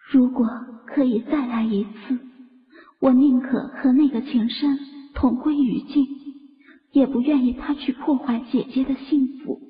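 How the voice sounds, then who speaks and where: Audio drama: remorseful young woman actress performing, high voice, sorrowful confession, slightly dull sound. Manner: slow, sorrowful confessional delivery. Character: heartbroken guilt-ridden young woman reading her farewell letter. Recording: muffled sound with long reverb.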